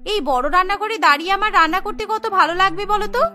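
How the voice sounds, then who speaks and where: Lady doing a bratty young wife in a cartoon, super high, bossy and whiny, music behind. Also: fast talker, sharp tone. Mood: defiant. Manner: whiny, haughty, scolding. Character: spoiled, haughty young daughter-in-law, demanding and boastful. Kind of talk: cartoon storybook dialogue.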